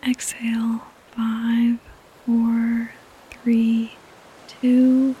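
A woman gently guiding a sleep meditation as a podcast host, in a soothing, breathy voice that often nears a whisper. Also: a little high, completely flat delivery, drawn-out words, slight background noise, poor audio. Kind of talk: soft-spoken guided sleep meditation.

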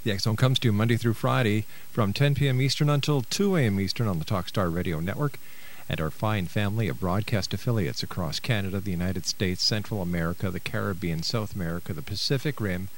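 A man with a fairly low voice hosts a radio talk show. He talks informally and folksily, chatting straight to his listeners. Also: fast, slight background noise.

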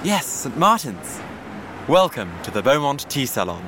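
A man's voice: medium pitch, sing-song, a little slow, background noise. An actor playing a young, fashion-savvy, polite and suave salon doorman in an audio drama. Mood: admiring.

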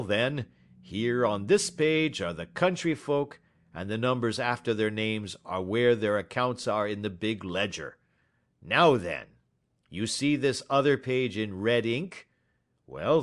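A man voicing a belligerent, gruff poultry salesman who talks loud and combative in an audiobook story. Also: mid-range voice, voice pushed up.

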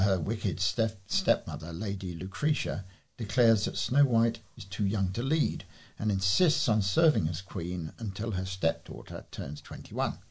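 A man's voice: lowish voice, a little mellow, slightly rough. A man reading local news aloud as a volunteer newsreader.

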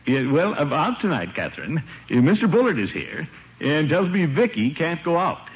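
An actor playing a pompous, blustering middle-aged water commissioner in a 1950s radio comedy, with chuckles and drawn-out exclamations. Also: male voice, a little high, sing-song. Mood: affable, evasive. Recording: noisy, muffled old radio recording.

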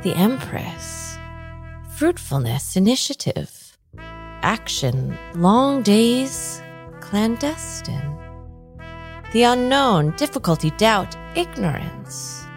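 A woman playing a calm, welcoming and mysterious tarot reader in an improvised radio drama, with deliberate pauses. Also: fairly high pitch, faint music behind, heavily acted. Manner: deliberate, enigmatic, pausing between words.

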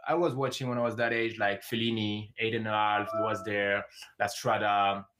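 A man's voice: on the low side, level tone, normal speed, noisy background. A man from the south of France with a French accent, a native French speaker giving casual interview answers as a filmmaker guest.

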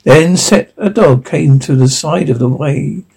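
A man reading a fairy tale aloud in a halting way, sometimes stumbling and correcting himself. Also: a little high, vocal fry, darkish tone, breathy edge. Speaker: story reader.

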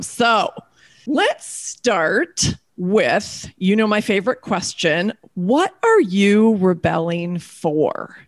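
A woman hosting a podcast, chatting enthusiastically and gushing, with lots of "like" and "you know.". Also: medium pitch. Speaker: podcast host. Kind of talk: conversational podcast interview.

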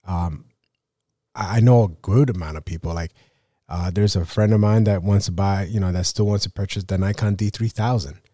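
A man hosting a photography podcast while getting over a cold, rambling through a casual solo rant. His voice sits low and mellow, and he keeps leaning on "you know" and "right?". The sound is dry and close. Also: moderate pace, no echo. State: sick with a cold.

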